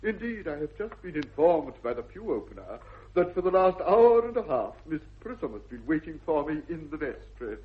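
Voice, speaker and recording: A man's voice: on the high side, big pitch swings, normal speed, hardly any pauses. An actor playing a mild, unctuous country clergyman with an English accent, pedantic and formal, in a radio comedy. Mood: solemn, obliging. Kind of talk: 1960s British radio comedy acting. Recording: dull vintage radio recording.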